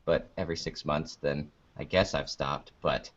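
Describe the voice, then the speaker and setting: A man's voice: a bass voice, fairly even intonation, moderate pace. A young American man chatting casually as an interviewed podcaster, with a joking, playfully melodramatic manner. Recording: dull lo-fi call-like audio.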